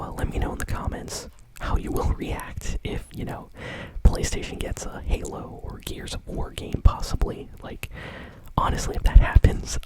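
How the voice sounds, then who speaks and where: A woman hosting gaming news in ASMR style, whispering in a casual, conversational manner. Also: low-quality recording. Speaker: host of a gaming news show.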